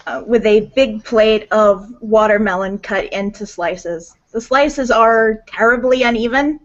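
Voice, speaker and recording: A woman narrates her character's actions in a roleplaying game at a very slow pace. She hesitates and pauses often as she improvises. The sound is a little muffled. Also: fairly high pitch. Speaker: tabletop roleplaying player. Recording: dull lo-fi audio.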